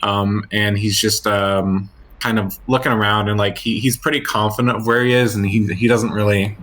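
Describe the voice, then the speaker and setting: A man's voice: on the low side, level tone, moderate pace, a bit bright. A man playing a tabletop role-playing game, speaking casually and hesitantly as he improvises his part. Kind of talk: casual improvised character description.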